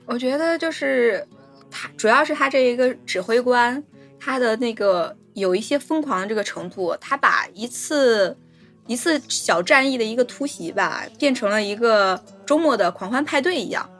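A woman's voice: a little high, animated, moderate pace, fairly bright tone, soft background music. A woman hosting a podcast, sharing her view in a casual film discussion. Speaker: podcast host.

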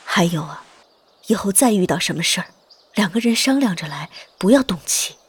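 Woman acting husky, caring older grandmother. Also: fairly low pitch, animated, moderate pace. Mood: admonishing. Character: caring older mother and grandmother, gently admonishing. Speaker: voice actor in an amateur audio drama. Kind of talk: Chinese period audio drama acting.

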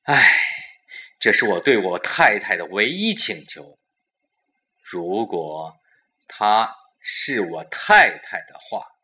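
A man's voice: lowish voice, big pitch swings, slow, pushed and bright, exaggerated voice. A man playing a domineering, impatient older company-boss husband, overbearing and exasperated, in a Chinese audio drama. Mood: angry.

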